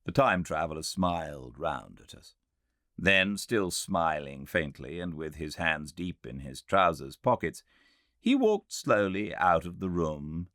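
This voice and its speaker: A man's voice: extremely low pitch, sharp tone, dry recording, studio quality. A man with a British accent, an audiobook narrator reading and chatting to his listeners. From Britain. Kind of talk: literary narration and informal sign-off.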